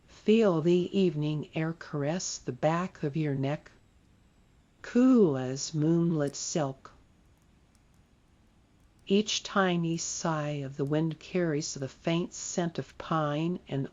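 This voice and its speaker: A meditation guide leading a guided sleep meditation in a soft, soothing way. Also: medium pitch, lo-fi sound. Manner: slow, soft, soothing delivery.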